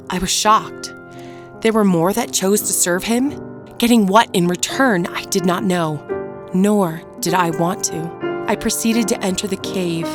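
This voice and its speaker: Scorned wife narrator, female actor, dark fantasy audio drama: lowish pitch, solemn, deliberate, bitter, music underneath. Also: natural voice. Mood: uneasy, awed. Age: middle-aged. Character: wronged, bitter village wife nearing forty, recounting her tale. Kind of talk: scripted first-person dark fantasy narration.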